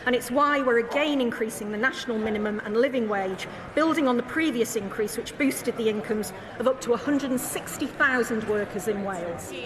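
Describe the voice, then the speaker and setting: Woman secretary of state, confident, rattles off numbers, echoey chamber w/ crowd. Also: on the high side. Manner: confident, brisk listing of figures. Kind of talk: parliamentary answer. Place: large debating chamber.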